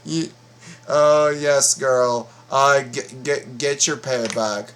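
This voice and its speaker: A man giving casual reaction commentary, often halting and repeating his words. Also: mid-range voice, steady tone, a bit bright, slight background noise. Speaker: reaction video host.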